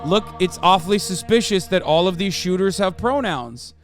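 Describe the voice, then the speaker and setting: A man's voice: sits high, moderate pace, soft background music. A man whose first language is Turkish, hosting a casual live-stream news commentary with profane, sarcastic rants. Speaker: streamer host.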